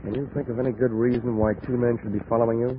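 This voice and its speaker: An American actor playing a wry, slangy, hard-boiled investigator in 1940s radio detective style. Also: male voice, mid-range voice, level tone, rapid-fire, close to an everyday voice. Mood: probing, guarded. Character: wisecracking hard-boiled male insurance investigator. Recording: noisy, narrow-band old 1940s radio recording.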